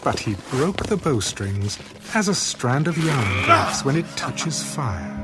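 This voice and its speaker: A man's voice: mid-range voice, very animated, slow pace, over loud music. A man narrating scripture in a solemn, dramatic storytelling style for an audio production.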